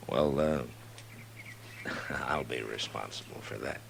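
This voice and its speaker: Frontier marshal, extremely low voice, gravelly, American, laconic, weary, fair-minded, 1950s radio western. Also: male voice, slow pace, a little hiss or hum, full character voice. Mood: gentle, dry.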